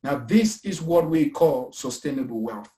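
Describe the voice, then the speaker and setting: A man's voice: medium pitch, expressive, a little slow, dark tone. A man in his thirties from Cameroon, talking earnestly about investing and pensions, repeating his points with preacher-like emphasis. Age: 30s. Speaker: investment company founder. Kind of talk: casual pitch talk. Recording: echoey room.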